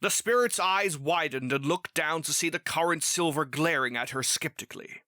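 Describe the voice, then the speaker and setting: A man's voice: a little high, normal speed, background noise. A man reading scripted story narration as the narrator of a fan fiction tale. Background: music.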